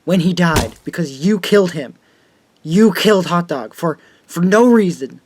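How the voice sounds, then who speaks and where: A man's voice: sits high, expressive, measured pace. A man playing an earnest, uneasy teenage boy, a conscientious friend, in a radio drama. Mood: shocked.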